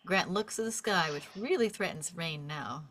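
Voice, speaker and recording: Casting: female narrator, slightly low pitch, reads stage directions for an informal script read-through. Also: normal speed, fairly bright tone.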